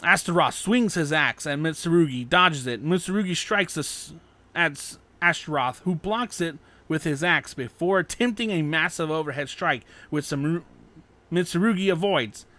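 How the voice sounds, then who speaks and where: A man's voice: on the high side, normal speed, pushed and bright. A man narrating scripted fan fiction as an audiobook.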